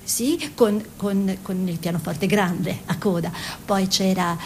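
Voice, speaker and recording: A woman's voice: slow, darkish tone. An actress playing a spirited, plain-spoken peasant-born woman painter in a conversational, dreamy piece of theatrical radio storytelling. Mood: reminiscing. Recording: noisy with low rumble.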